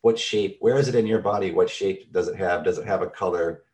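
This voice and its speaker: A man's voice: sits low, fairly quick, darkish tone. A middle-aged man, a therapist, explaining concepts in a thoughtful, conversational way with everyday examples.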